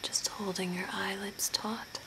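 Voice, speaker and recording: A young woman playing an attentive, macabre zombie makeup artist in a whispered ASMR role play, with long pauses. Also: mid-range voice, level tone, natural voice. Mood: soft, attentive. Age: young adult. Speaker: ASMR performer. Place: small curtained room indoors. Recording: noticeable background hiss and ambience.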